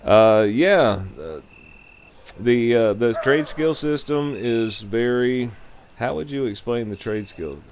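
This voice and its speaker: A man giving a casual, rambling game review in a loose, halting, unscripted way. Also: mid-range voice, background noise.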